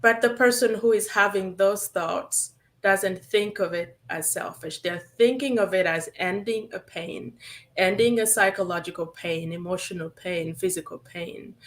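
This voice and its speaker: A woman therapist from Uganda, whose first language is Acholi, speaking earnestly and reflectively as an interview guest. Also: on the low side. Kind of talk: interview answers.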